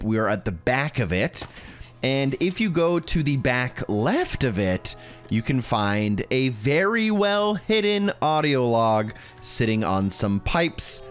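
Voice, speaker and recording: A man narrating a video game walkthrough in a casual, instructional way, talking directly to the viewer. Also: mid-range voice. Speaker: video game guide creator.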